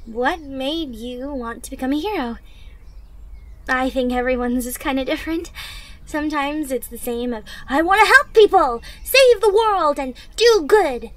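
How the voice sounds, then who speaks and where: A young woman playing a caring, encouraging teenage superhero in training, speaking gently and earnestly in an anime-inspired ASMR roleplay. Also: high-pitched, unhurried. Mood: upbeat, encouraging. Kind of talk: ASMR roleplay, acted monologue to listener. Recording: noisy ambience with birdsong. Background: birdsong, outdoor ambience.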